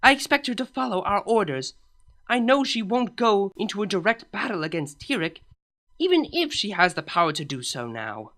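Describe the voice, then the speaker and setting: A woman's voice: mid-range voice, sing-song, normal speed, a bit bright. A woman reading a fan story aloud, voicing a stern, proud royal pony princess who talks in an insistent, lecturing way. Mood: stubborn.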